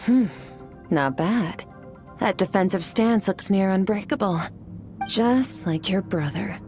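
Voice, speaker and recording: A woman voicing a cool, detached female warrior mentor who gives calm, clipped instructions in a game cutscene. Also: medium pitch, takes it slowly, over music. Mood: coolly instructive. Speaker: sword mentor. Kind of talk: video game cutscene voice acting.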